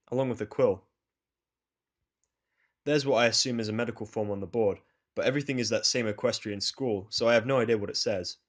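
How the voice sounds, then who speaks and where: A man reading a first-person fan fiction story as narrator, with a blunt, sardonic delivery. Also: lowish voice.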